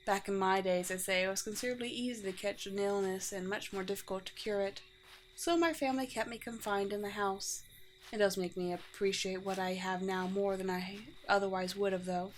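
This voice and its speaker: A woman playing a courteous, teasing, world-weary vampire queen in a roleplay audio drama, with formal, old-fashioned and gently wry phrasing. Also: medium pitch, steady tone, fairly quick, lightly acted, rough recording. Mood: gracious, playful. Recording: clear background noise with ambience.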